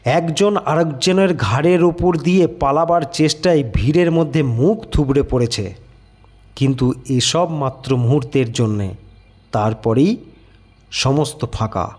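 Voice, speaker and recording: A man's voice: a little high, a little slow, darkish tone. A man reading a literary audio story as a dramatic scripted narration.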